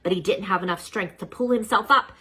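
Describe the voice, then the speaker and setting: A woman reading a children's storybook aloud as narrator, with an expressive storytelling manner. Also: medium pitch, a little fast, slightly rough. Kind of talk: children's book read-aloud.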